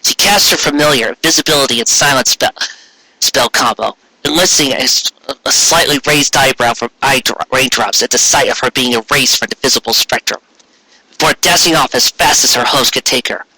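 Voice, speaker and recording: A man's voice: mid-range voice, brisk, pushed and bright, husky, no room sound, low-quality recording. A man narrating fan fiction aloud as an amateur reader, stumbling over words and correcting himself.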